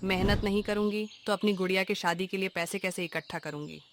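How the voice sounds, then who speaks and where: A woman's voice: medium pitch, fairly even intonation, brisk, slight background noise, lightly acted. A woman playing a humble village vegetable-seller mother. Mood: tender. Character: humble, hardworking poor vegetable-seller mother, middle-aged. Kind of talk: animated moral story dialogue.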